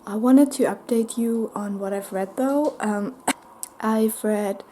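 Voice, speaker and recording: A woman chatting casually to camera in a vlog, hoarse and half whispering because she has nearly lost her voice, soft and halting with many pauses. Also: mid-range voice, a little hiss or hum. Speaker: book vlogger.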